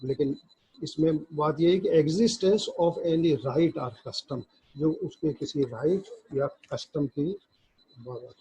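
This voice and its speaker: A man with a Pakistani accent giving a law lecture, halting and deliberate, reading formal text and then explaining it, repeating phrases as he goes. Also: on the high side, mellow, slightly rough, low-quality recording. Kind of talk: lecture reading legal text aloud in English and explaining it in Urdu.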